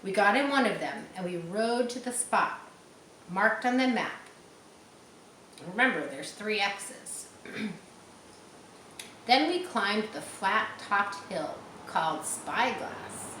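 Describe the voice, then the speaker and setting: A woman's voice: medium pitch, lively intonation, a bit bright. A woman reading a story aloud to listeners, stopping to explain words and details in an explanatory way. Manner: explanatory, checking understanding, pausing to clarify words. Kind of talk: read-aloud storytelling with teaching asides. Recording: echoey room with faint background noise.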